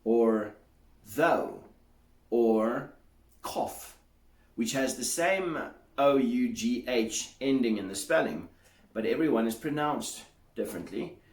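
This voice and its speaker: A man teaching English pronunciation in a measured, explanatory way, sounding out examples aloud. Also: mid-range voice, expressive, a little mellow, reverberant. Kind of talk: solo teaching monologue.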